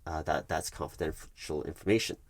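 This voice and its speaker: A man with a very low, dry, close-miked voice plays a corporate HR manager in an ASMR roleplay. He speaks softly and formally, with hesitant, measured phrasing. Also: steady tone, dry recording. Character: polite, evasive corporate HR recruiting manager. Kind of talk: soft-spoken ASMR roleplay, one-sided workplace meeting.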